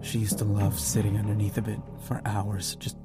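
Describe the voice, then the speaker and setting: A man's voice: lowish voice, flat delivery, moderate pace, loud music behind the voice, close to an everyday voice. A man playing an anguished, foul-mouthed survivor of a zombie apocalypse in a horror audio drama. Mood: grim, resolute. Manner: grim, profane, anguished.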